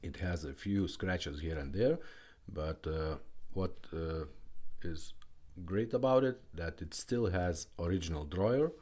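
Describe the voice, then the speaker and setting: A man's voice: low voice, a little slow, warm tone. A man who speaks as a gives a casual, informal product demonstration with hesitant phrasing. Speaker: car radio restorer presenting a product.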